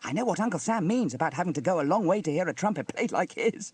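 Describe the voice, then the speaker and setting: Guy doing a cheeky little girl from a kids storybook, high pitched, giggly. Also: big pitch swings, a little slow, husky, no room sound, heavily acted. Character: young girl twin, giggly, cheeky and excitable.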